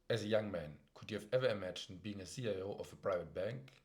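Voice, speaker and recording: A man's voice: lowish voice, a little fast. A young man hosting a student investment podcast, reading out prepared questions and introductions. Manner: reads prepared questions, brief backchannels. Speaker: podcast interviewer. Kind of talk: podcast interview.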